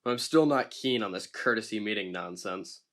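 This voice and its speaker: Guy doing a grouchy deadpan ship captain, low-ish voice, audio drama. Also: normal speed. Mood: grumpy. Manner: grumpy, deadpan. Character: grumbling middle-aged male ship captain. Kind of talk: amateur audio drama acting.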